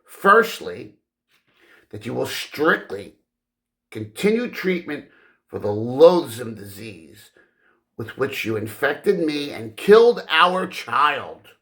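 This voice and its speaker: A male narrator plays a genteel, headstrong Victorian wife in an audiobook novel. The delivery is indignant and formal, with lively swings of pitch and a bright, tense edge. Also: slow pace, exaggerated voice. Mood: stern, accusing. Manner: formal, emphatic speech.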